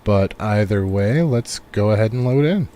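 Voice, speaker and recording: A man giving relaxed, rambling live commentary on a game he is playing, thinking aloud as he goes, while recovering from a cold. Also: lowish voice, normal speed. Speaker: game streamer. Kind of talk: casual live gameplay commentary.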